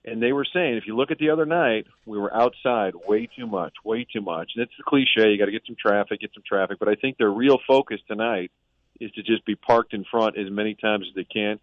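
A man's voice: on the low side, fairly quick, no room sound. Man on a tinny phone line. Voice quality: conversational, analytical. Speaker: sports reporter. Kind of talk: casual sports talk. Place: on a boat in a harbor.

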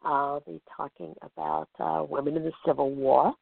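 A hoarse woman speaking as a guest in an interview, talking about her genealogy work with emphatic enthusiasm. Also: extremely low pitch, big pitch swings, moderate pace, no room sound. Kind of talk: interview answers. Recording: tinny phone line, dry.

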